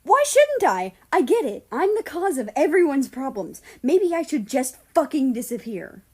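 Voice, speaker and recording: A woman's voice: high-pitched, big pitch swings, moderate pace, a little mellow, voice pushed up. A woman voicing a bitter, guilt-ridden female guardian spirit, snapping and sarcastic in a story reading. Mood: self-blaming, angry.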